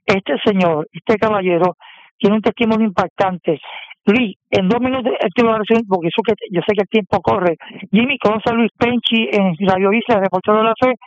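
Male host, high voice, brisk announcer delivery, dull dry radio sound. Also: no echo. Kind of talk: radio introduction.

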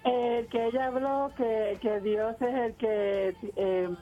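A woman's voice: fairly high pitch, fairly even intonation, takes it slowly, faint music behind. A woman calling in to a radio show, effusive and emotional as she speaks. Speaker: caller. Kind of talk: casual phone-in chat. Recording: dull lo-fi phone line.

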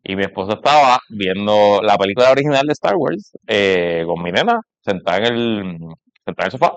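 A man's voice: lowish voice, animated, a little fast, smooth, no room sound, dull audio. A man with a Puerto Rican accent chatting casually and telling anecdotes in conversation. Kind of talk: casual conversation about parenting.

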